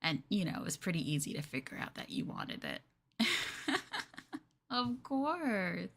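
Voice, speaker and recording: A woman playing a clingy, lovestruck yandere girlfriend in an ASMR roleplay, soft, coy and doting, with a hesitant, flustered way of talking. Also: medium pitch, big pitch swings, measured pace, heavily acted. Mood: doting, sweet.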